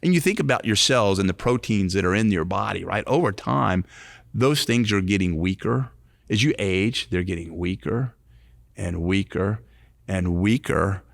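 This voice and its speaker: A man near sixty with a low, gravelly voice, co-hosting a faith-based recovery podcast. He talks earnestly, repeating and restarting phrases as he builds a point. He is recorded close and dry, with no room echo. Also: animated, normal speed. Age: 50s. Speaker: podcast co-host, recovery ministry.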